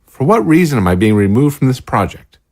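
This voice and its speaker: An indignant, principled male scientist, played by an actor in an audio drama. His pitch moves widely as he sputters incredulous, formally worded objections, stubborn and betrayed. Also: very animated, a little fast, darkish tone. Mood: outraged.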